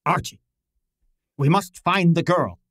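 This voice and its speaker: A man acts out a shrewd, pedantic detective from an old-time radio play. His voice sits fairly high and is recorded dry and close. He speaks with formal, deliberate authority, as if questioning a suspect. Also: fairly bright tone, no room sound. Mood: pondering, authoritative.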